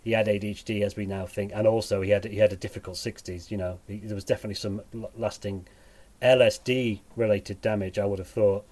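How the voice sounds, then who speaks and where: A man with a northern English accent tinged with Sheffield, chatting as a podcast guest in a halting, wry way. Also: on the low side, steady tone, a little mellow. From England. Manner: halting, self-correcting, dryly wry. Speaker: podcast guest, musician and teacher. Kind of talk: casual podcast conversation.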